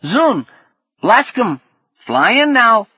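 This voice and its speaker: Squeaky, excitable little tribe kid. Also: male voice, very slow, slightly rough, no room sound, muffled recording, full character voice. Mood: excitable, probing. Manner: clipped broken kid-speak, exclamatory. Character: childish little reptile-clad tribe kid, excitable, speaking broken slang.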